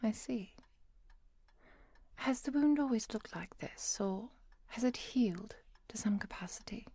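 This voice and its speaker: A woman playing a composed, caring professor and vampire hunter in an ASMR roleplay, speaking softly and precisely, often near a whisper. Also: fairly high pitch, slightly rough, no echo. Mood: calm, clinical. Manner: measured, precise, softly commanding.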